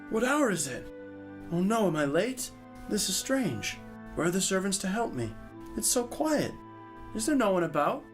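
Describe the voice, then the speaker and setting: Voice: mid-range voice, very animated, unhurried, long pauses, faint music behind. An actor playing an earnest, impulsive young male knight in an audio drama, exclamatory and courtly in phrasing. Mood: startled, puzzled.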